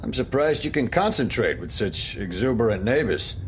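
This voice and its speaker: A man's voice: medium pitch, sing-song, normal speed, slight background noise, lightly acted. A man playing a suspicious, insinuating police detective in an audio drama, probing and sardonic.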